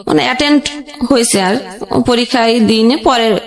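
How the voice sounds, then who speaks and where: A young woman with a Bangladeshi accent telling her own life story conversationally, in a halting, plain way with frequent rephrasing. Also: on the high side. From Jashore region, Bangladesh. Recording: roomy echoing sound.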